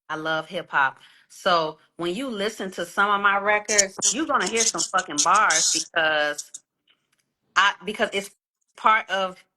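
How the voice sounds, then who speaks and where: A woman's voice: lowish voice, pushed and bright, a little hiss or hum, rough recording. A woman in her thirties, an R&B singer talking candidly in a casual interview, swearing freely and often repeating words. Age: 30s. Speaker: guest R&B singer and songwriter.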